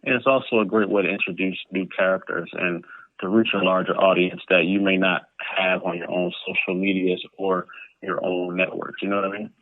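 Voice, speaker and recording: Over a tinny, phone-like connection, a man speaks in a fairly low voice. He answers interview questions casually and conversationally, with a dry sound free of room echo. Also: fairly even intonation, brisk. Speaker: interview guest, comic book creator. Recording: narrow, tinny phone-like line.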